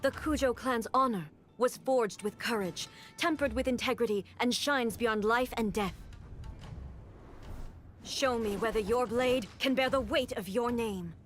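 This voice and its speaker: A woman performs an imperious, unyielding female ruler from a video game. She speaks in a slightly high voice, with formal, solemn authority. Music plays behind her. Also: measured pace, close to an everyday voice. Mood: solemn command. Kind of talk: dubbed video game drama acting. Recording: game dialogue over background music.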